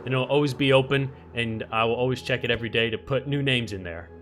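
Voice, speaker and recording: A man's voice: mid-range voice, fast, fairly bright tone, soft background music. Slightly nasal man chatting over gameplay. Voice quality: somewhat nasal voice. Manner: chatty, thinks aloud while playing, frequent ums and restarts. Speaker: Minecraft let's-play host. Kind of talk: casual gameplay commentary.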